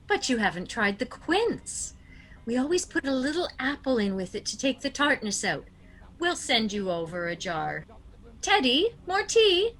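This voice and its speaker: Woman playing a fluttery, bright-voiced sweet old aunt. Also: sits high, very animated, moderate pace. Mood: doting, chatty. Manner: fluttery, doting, genteel. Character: sweet, fussy, hospitable old spinster aunt. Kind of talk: radio play acting.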